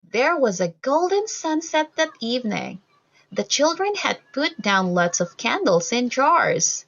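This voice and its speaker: A woman reading a children's story aloud from a script, in an expressive, deliberate way for young listeners. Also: sits high, vocal fry, highs cut off. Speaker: storybook reader.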